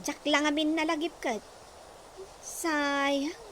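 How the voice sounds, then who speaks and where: High-voiced lady teasing her friend, hissy recording. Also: little pitch movement, slow, fairly bright tone. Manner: teasing, gossipy. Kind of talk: Ilocano radio comedy drama. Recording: noisy background hiss.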